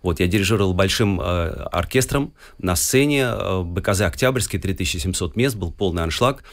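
A man's voice: low voice, animated, fairly quick. A middle-aged man from Russia answering interview questions as a guest musician, telling his story fluently with some fillers.

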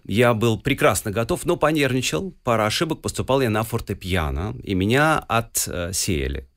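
A man's voice: medium pitch, animated, normal speed, fairly bright tone. A middle-aged man from Russia answering interview questions as a guest musician, telling his story fluently with some fillers.

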